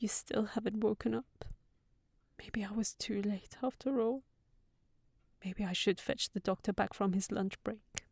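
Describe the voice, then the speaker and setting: Woman acting a slow-spoken, earnest young fairytale housekeeper. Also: medium pitch, fairly even intonation, vocal fry, darkish tone, slightly rough, no echo, clean studio sound. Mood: tender, regretful. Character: sensible young fairytale housekeeper, secretly smitten with a wizard. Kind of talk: intimate scripted audio roleplay.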